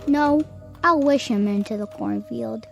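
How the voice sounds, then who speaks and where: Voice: mid-range voice, unhurried, vocal fry, highs cut off, faint music behind. An actor playing a menacing, petulant young boy with imperious speech in an audio drama. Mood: commanding. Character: menacing young boy with deadly wishing powers.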